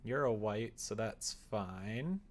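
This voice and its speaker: A man's voice: on the low side, animated, slow. A man live streaming as he develops a visual novel, casually thinking aloud and chatting with viewers as he works. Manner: thinks aloud, mutters instructions while working, chats back to viewers. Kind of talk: casual livestream chat while coding, often muttering to himself. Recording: faint background noise with keyboard typing.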